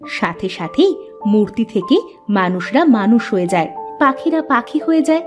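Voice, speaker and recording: A woman's voice: medium pitch, expressive, normal speed, music in the background. A woman giving scripted narration for a cartoon fairy tale.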